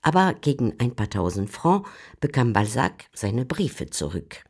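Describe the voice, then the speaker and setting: A woman giving measured, composed scripted narration for a literary radio drama. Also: a bass voice, big pitch swings, a little mellow, slightly rough. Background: faint music.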